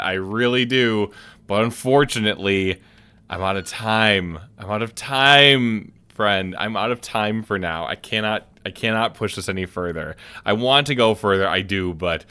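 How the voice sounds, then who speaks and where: A man hosting a let's-play video, giving chatty, excitable commentary. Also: medium pitch. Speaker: gaming video host.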